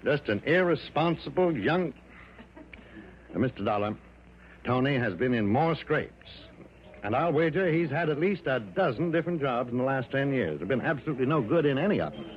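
An actor playing a hesitant, fussy, cautious American insurance man in a mid-century radio drama, halting and hedging. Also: medium pitch, animated, lightly acted. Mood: fussy insinuating. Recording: muffled old radio broadcast.

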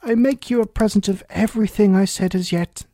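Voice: mid-range voice, fairly even intonation, brisk, dark tone, dry recording, voice pushed up, close to an everyday voice. A reader voicing a pompous, moralizing and cloyingly agreeable Duchess, a rambling, self-pleased woman, in a storybook. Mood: pleased, self-important.

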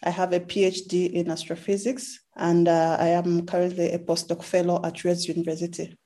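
A woman's voice: fairly low pitch, flat delivery, fairly quick, warm tone. A woman in her thirties with a Zambian English accent, answering interview questions in a conversational way. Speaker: interview guest, astrophysics researcher.

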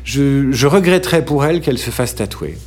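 A middle-aged man from France in an interview, talking thoughtfully with frequent "euh" pauses and restarts and some dry humor. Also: mid-range voice, expressive, a little mellow. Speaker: interview guest. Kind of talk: hesitant conversational interview.

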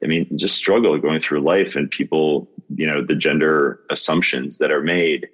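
Very deep-voiced man in his fifties. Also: steady tone, moderate pace, a bit bright, dull audio. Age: 50s. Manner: earnest, with frequent laughs and restarts. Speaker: podcast guest. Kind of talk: casual conversation.

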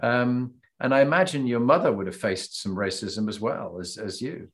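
A male interviewer leads a casual conversation, asking his questions in a hesitant way. He restarts his sentences often and fills pauses with "um". His voice sits at an ordinary middle pitch.

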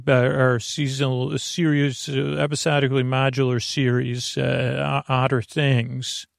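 A man hosting a bedtime sleep podcast, speaking in a gravelly, creaky voice and meandering haltingly through tangents with stammers and trailing thoughts. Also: mid-range voice, no echo, clean studio sound. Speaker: podcast host.

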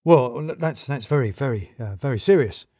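A jittery, paranoid office manager played by a male storyteller in an audio drama. He pushes his words out loudly and a little high, stammering and repeating himself in blustering emphasis. The sound is close and dry. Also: expressive, moderate pace, dry recording, close to an everyday voice. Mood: rattled, stalling.

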